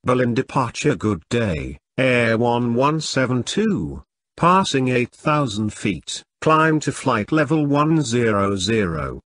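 Male voice for pilot phraseology lesson, mid-pitched, unhurried, formulaic delivery. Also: big pitch swings, fairly bright tone. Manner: measured, formulaic. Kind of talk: scripted radio phraseology readback.

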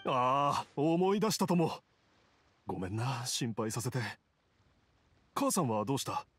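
A man playing a weary, kindly father who is recovering, halting and soft-hearted, in a Japanese anime game. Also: medium pitch, very animated, slight background noise. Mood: apologetic, confused.

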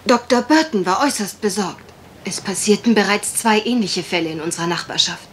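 A woman's voice: mid-range voice, a little fast, slight background noise, lightly acted. A woman acting a genteel, worried young country heiress in a German radio mystery play, polite, well-spoken and a little hesitant. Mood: worried, confiding.

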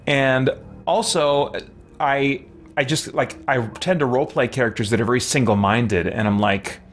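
A man's voice: mid-range voice, normal speed. A man around his forties gives casual game commentary, rambling and thinking aloud as he plays. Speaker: streamer playing a role-playing game. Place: indoor room lined with shelves. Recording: clear background noise.

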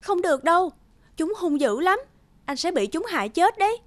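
An actress playing an anxious but determined young princess in a cartoon fairy tale. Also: female voice, very high voice, sing-song, normal speed. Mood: worried.

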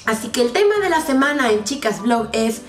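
A young woman with a Mexican accent, chatting to camera in a playful, expressive way as she gives advice. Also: fairly high pitch, fairly quick. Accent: Mexican Spanish. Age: young adult. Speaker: vlogger. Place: small bedroom, indoors. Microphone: camera mic at a short distance. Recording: roomy bedroom, distant camera mic, music.

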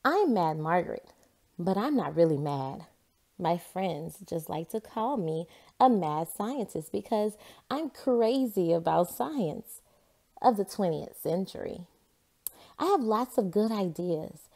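A confident young girl scientist from a picture book, read by a woman, curious and sure of herself. The delivery is lively and measured, with an inquisitive lilt. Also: lowish voice, gravelly, heavily acted. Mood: proud. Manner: assured, inquisitive, explaining.

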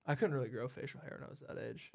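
A man's voice: mid-range voice, racing, creaky. A man in his twenties hosting a casual interview chat, affirming and often repeating short phrases. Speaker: podcast host. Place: at a dining table in a home. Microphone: headset.

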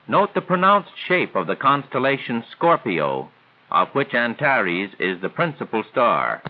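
Man, 1940s training film narrator, highly varied intonation, formal didactic delivery, narrow phone-like vintage sound. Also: big pitch swings, a little slow. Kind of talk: scripted 1940s instructional narration. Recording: tinny band-limited 1940s film soundtrack.

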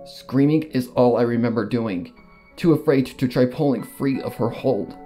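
Male narrator, dramatic first-person storytelling with halting pauses, music underneath. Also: mid-range voice, dark tone. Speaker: fan fiction reader. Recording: music behind the voice. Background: background music.

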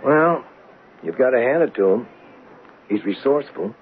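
An actor playing an easygoing, conciliatory police captain in a radio drama, with a placating manner. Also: male voice, medium pitch, animated, moderate pace, lightly acted. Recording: dull, noisy old radio broadcast.

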